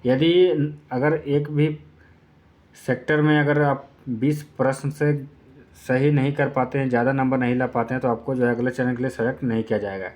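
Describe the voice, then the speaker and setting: A man's voice: medium pitch, flat delivery, normal speed, darkish tone. A man gives an informal exam-prep explainer, going step by step with repeated points and filler phrases. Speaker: exam-prep YouTube presenter.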